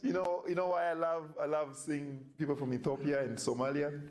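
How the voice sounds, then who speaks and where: Stand-up guy chatting with the crowd, bit high voice, echoey noisy room. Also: flat delivery, brisk. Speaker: stand-up comedian. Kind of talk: stand-up comedy set.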